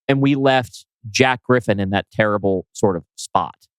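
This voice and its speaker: A man's voice: fairly low pitch, moderate pace, clear, resonant tone, dry recording. A man talking casually as a podcast co-host, discussing writing in a conversational way. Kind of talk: casual chat about scriptwriting.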